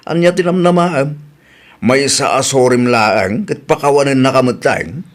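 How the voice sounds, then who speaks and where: A man's voice: mid-range voice, measured pace, lightly acted. A man playing an exasperated, scolding friend in a comically dramatic radio drama, with an Ilocano accent. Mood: exasperated, mocking.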